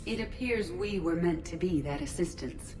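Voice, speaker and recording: An actress playing a formal, precise, helpful artificial intelligence in a video game. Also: female voice, lowish voice, moderate pace, lightly acted, low-quality recording. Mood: calm, analytical. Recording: music under the voice.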